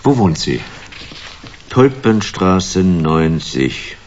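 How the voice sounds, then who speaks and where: An extremely deep-voiced, slow informant in a radio drama. He speaks haltingly and without hurry, with slightly dull sound. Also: very animated, a little mellow, slight background noise, exaggerated voice. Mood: pondering, helpful.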